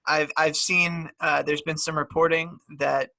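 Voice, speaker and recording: Halting male host, gravelly and bright, slightly high voice, filler-heavy questions. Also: little pitch movement. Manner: hesitant, many fillers. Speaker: interviewer, host. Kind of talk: remote interview.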